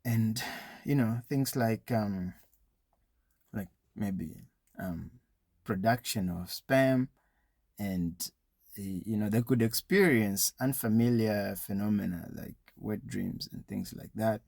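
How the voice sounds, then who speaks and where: A man's voice: on the low side, takes it slowly, vocal fry, darkish tone, no room sound. A man giving an informal, educational talk with advice for young people, explaining things conversationally with frequent small asides.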